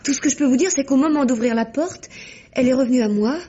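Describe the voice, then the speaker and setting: An actress playing an earnest, devoted young niece in a French radio drama, breathy, polite and emotional. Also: female voice, high voice, fast talker, warm tone. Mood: worried. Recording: dull old radio drama recording.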